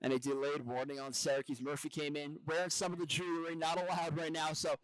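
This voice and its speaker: A man's voice: a little high, level tone, fast, dry recording, low-quality recording. A man doing live play-by-play commentary of a basketball game for a TV broadcast.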